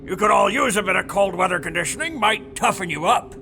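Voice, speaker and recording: A man playing a grouchy, defensive veteran handyman and guard in an audio drama, loud, blustering and mocking. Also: sits high, animated, brisk, gravelly, slight background noise, heavily acted. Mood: defensive, irritated.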